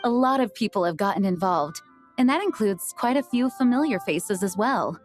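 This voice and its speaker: A woman playing a dutiful young knight and acting leader in a video game, speaking in a formal, measured, earnest way. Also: medium pitch, lively intonation, close to an everyday voice. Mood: friendly, modest. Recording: background music under the voice. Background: music.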